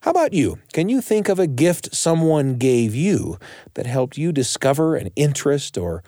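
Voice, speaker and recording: A man's voice: a little high, moderate pace, no room sound, studio quality. A man hosting a podcast, speaking conversationally and reflectively, directly to his listeners. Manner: animated, addresses listeners directly. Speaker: podcast host.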